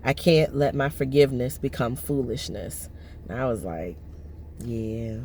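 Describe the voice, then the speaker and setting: Hoarse lady in her 30s talking to her phone in a parked car, slow, lots of ums, a bit of car noise. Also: lively intonation, dark tone. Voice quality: lowered, husky voice. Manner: rambling, many fillers and restarts. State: hoarse from allergies. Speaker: vlogger. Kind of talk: casual personal vlog. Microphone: phone on the dashboard.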